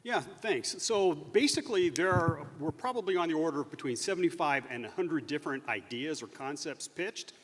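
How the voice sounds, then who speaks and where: A man's voice: a little high, lively intonation, brisk, hardly any pauses, fairly bright tone. A man speaking as a panelist on stage, explaining things hesitantly with many hedging asides. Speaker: author and panelist. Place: convention stage before an audience. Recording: echoey hall, stage sound system.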